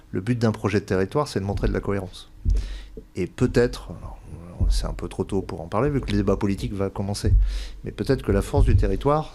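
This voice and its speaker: Male civil servant, low register. Measured, careful explanatory answers over light background ambience. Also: mellow. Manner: measured, cautious, hedging. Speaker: local government administrator. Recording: clear background ambient noise.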